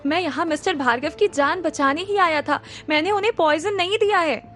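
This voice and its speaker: A man's voice: very high-pitched, brisk, sharp tone, pitched above the performer's own voice. A woman voicing a frightened young man wrongly accused, pleading and panicky.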